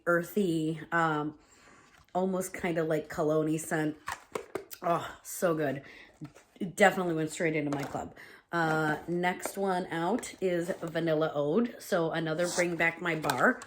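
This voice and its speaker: A woman's voice: deep voice, steady tone, measured pace, background noise. A woman with a cold and a stuffy, congested voice, chatting casually through a home fragrance review at home, with lots of "um" and "like.". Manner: chatty, full of "um" and "like". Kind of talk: casual product review chat.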